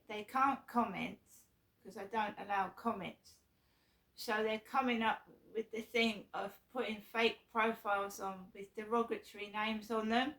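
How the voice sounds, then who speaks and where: Slow, halting woman with a sore throat. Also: mid-range voice, creaky, a bit bright. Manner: halting and rambling, many ums and restarts. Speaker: vlogger. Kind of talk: casual talk to camera.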